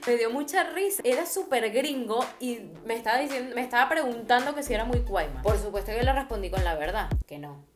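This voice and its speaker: A woman's voice: a little high, fairly quick. A young woman from Venezuela with a Venezuelan Spanish accent, casually retelling a trip for her vlog. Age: young adult. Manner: chatty, lively retelling with quoted dialogue. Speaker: travel vlogger. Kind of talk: casual storytelling. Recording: music playing underneath.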